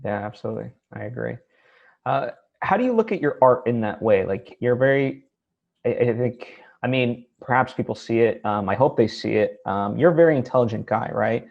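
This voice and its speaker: A man's voice: mid-range voice, lively intonation, fairly quick, creaky, muffled recording. A man hosting a casual interview, circling toward his points in a hesitant, conversational way. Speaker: interviewer, host.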